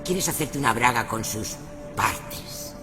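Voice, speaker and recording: A man's voice: medium pitch, animated, takes it slowly. A man playing a cruel, domineering older mother who scolds and accuses in a radio horror play. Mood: scornful. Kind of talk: Spanish-language radio horror acting. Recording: music behind the voice.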